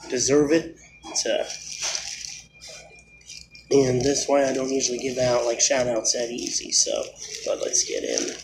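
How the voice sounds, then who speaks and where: A man in his thirties talks casually into a close phone camera, his voice nasal from a cold. He rambles, with plenty of fillers and restarts, at a middling pitch. A clear hiss of noise runs under the recording. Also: expressive, measured pace, long pauses. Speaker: vlogger. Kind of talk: casual food review vlog. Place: indoors, small room.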